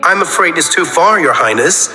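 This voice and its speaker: A man's voice: on the high side, animated, moderate pace, bright, forceful tone. A man voicing a folksy, evasive sea pony worker in a cartoon comic dub, deferential but unhelpful. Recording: roomy reverb, music behind. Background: music.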